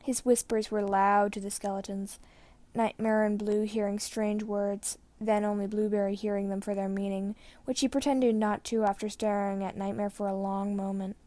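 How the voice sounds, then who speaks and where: A woman's voice: mid-range voice, little pitch movement, moderate pace, dark tone, dry recording. A woman reading fan fiction aloud as the narrator, telling the story dramatically. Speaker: podfic narrator.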